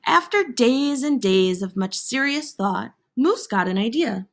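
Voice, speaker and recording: A woman reading a children's picture book aloud in an expressive, theatrical way with dramatic pauses and emphasis. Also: a little high. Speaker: storybook reader.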